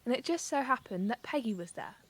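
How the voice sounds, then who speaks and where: A woman playing a caring, sensible young English wartime worker in an audio drama, gentle and reassuring. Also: fairly high pitch, animated, brisk, slightly rough.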